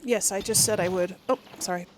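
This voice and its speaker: A woman's voice: medium pitch, brisk, slight background noise. A woman hosting a casual let's play, chattily thinking aloud to her viewers. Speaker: gamer, series host.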